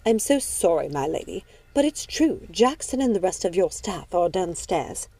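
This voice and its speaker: A woman's voice: lowish voice, very animated, normal speed, mellow, dry recording. A woman voicing a shaken female household servant, hesitant, deferential and apologetic, in an audiobook story. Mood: pitying.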